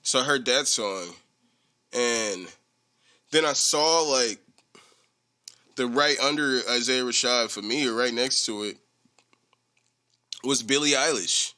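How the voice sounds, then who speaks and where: Bright-voiced man rambling on a podcast. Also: medium pitch, slow pace, long pauses. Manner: rambling, profane, animated storytelling. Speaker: podcast host. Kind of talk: casual solo podcast chat.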